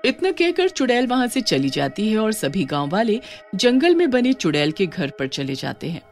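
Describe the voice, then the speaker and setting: A woman's voice: medium pitch, very animated, normal speed. A woman storyteller reading scripted horror story narration. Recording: music under the voice.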